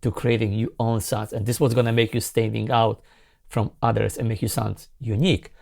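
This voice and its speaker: A man in his forties, a non-native English speaker, hosting a casual synthesizer tutorial in an enthusiastic, halting way. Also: lowish voice, little pitch movement, vocal fry. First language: not English. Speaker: host, sound designer demonstrating a synthesizer plugin. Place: small home music studio, indoors. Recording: synthesizer music behind the voice. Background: loud synthesizer sounds and music.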